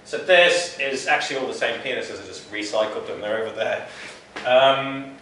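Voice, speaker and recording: A man's voice: medium pitch, normal speed, pushed and bright, a little hiss or hum. A man in his forties from England giving an informal, rambling, self-deprecating talk about his artwork to an audience in a small gallery, recorded from a distant camera. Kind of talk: informal public talk with slides. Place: small dim gallery room. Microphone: far camera, no mic. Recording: roomy echo from far off.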